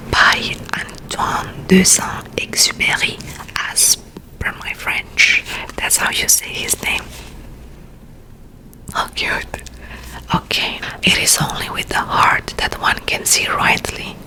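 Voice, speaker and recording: A woman whispering close to the mic in an ASMR video, chatting in rambling asides and reading aloud. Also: rough recording. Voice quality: soft unvoiced whisper. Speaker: ASMR creator. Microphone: close to a sensitive mic.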